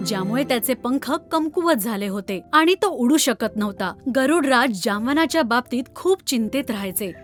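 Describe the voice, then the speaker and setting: A woman reading scripted narration for an animated children's fairy tale. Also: fairly high pitch. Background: background music.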